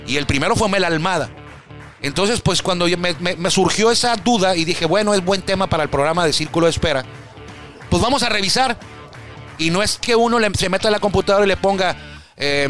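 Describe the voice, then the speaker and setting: A man's voice: high voice, a bit bright. Chatty man talking baseball stats. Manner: chatty, rambling, self-correcting. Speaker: baseball stats radio host. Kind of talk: casual sports talk.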